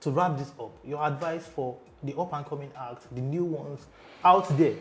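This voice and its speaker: A male interviewer with a fairly high-pitched voice poses questions in an easy conversational way. He keeps affirming his guest with short hums and agreements. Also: moderate pace, slight background noise. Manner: affirming, with frequent backchannel hums and laughs.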